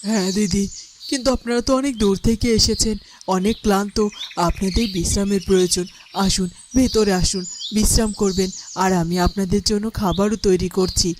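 A woman voicing a gentle, hopeful village mother in a fairytale cartoon, polite and coaxing. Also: mid-range voice, fairly even intonation, fairly quick, natural voice. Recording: noisy track with birdsong behind.

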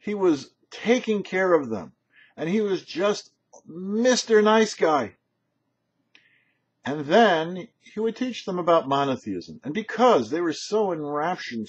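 An older man giving a short religious teaching as a rabbi, emphatic, with rhetorical questions and pauses. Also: fairly high pitch, breathy edge. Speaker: rabbi and host of a weekly Torah show. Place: small home office, indoors. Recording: dull, lo-fi webcam sound.

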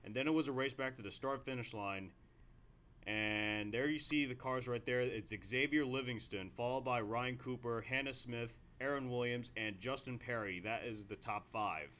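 A man giving play-by-play commentary on a simulated stock car race, getting excited at close moments and restarting sentences with fillers. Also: medium pitch, normal speed. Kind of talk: amateur sim racing play-by-play commentary.

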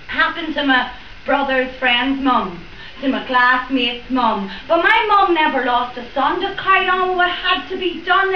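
A woman's voice: high-pitched, a little hiss or hum. A young woman from Northern Ireland with a Northern Irish accent, performing spoken word poetry in a library with a chatty, joking introduction. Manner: chatty and joking between rhyming verses. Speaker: performance poet. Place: library event room. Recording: roomy, distant, dull room recording.

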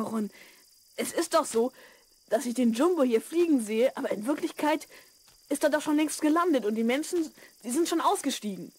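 A woman playing a curious, excitable twelve-year-old boy in a children's audio drama, wide-eyed and eager. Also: sits high, husky. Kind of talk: 1970s German children's audio drama acting.

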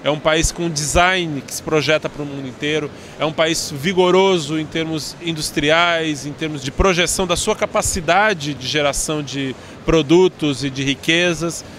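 A Brazilian man with a fairly high voice gives a formal interview answer. He speaks in a measured way, feeling for his words, into a handheld mic amid faint fair noise. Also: fairly bright tone. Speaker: tourism board director, interview guest. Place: busy indoor trade fair booth. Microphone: handheld interview microphone. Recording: clear background noise at trade fair.

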